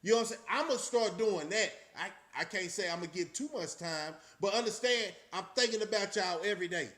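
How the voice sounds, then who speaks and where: Man, high voice, bright tone, rambling casual host talk. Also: lively intonation, a little fast. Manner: loose, rambling, with frequent restarts. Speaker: channel host. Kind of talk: casual live-stream chat.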